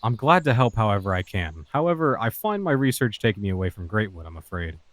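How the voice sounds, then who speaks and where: A man playing a composed, enigmatic lordly scholar in a fantasy audio drama, speaking in a measured, formal way. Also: on the low side, big pitch swings, fairly bright tone, dry recording. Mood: polite.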